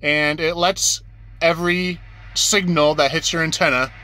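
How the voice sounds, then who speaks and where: A man's voice: a little high, fairly even intonation, measured pace, sharp tone, no room sound. A man giving a casual, off-the-cuff tutorial as an instructor, explaining things plainly step by step and repeating points for beginners.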